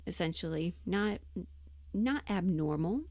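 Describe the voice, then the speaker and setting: Slow, mellow-voiced woman murmuring to herself. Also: lowish voice, animated, dry recording, studio quality. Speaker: audio roleplay performer and livestream host. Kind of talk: casual livestream chat, thinking aloud.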